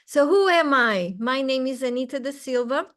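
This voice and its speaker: A woman's voice: high-pitched, expressive, normal speed, a bit bright. A Portuguese woman in her forties, speaking English with a Portuguese accent, teaching an online lesson in a chatty, bubbly way with frequent laughter. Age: 40s. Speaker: language teacher.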